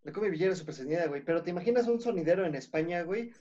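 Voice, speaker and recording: A man's voice: high-pitched, lively intonation, rapid-fire, darkish tone. A man chatting casually with friends, joking and saying "güey" constantly. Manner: jokey, says "güey" constantly, mimics other accents. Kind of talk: casual banter among friends. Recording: dull lo-fi audio.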